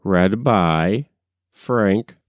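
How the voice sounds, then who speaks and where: A man reading poetry aloud in a measured, solemn recitation. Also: sits low, no echo, clean studio sound. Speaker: poetry reader.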